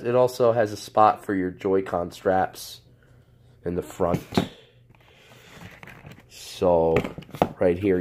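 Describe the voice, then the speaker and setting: A man with a hoarse voice from a cold, casually reviewing a product off camera while he handles it, rambling and correcting himself. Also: sits low, a little mellow, a little hiss or hum. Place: indoors at a table. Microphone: handheld camera, off-screen speaker.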